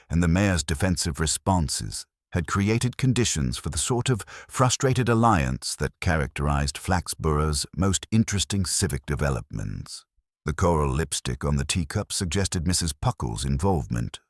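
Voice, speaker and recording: Very deep male mystery narrator, dry sound. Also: no echo. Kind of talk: scripted audiobook-style mystery narration.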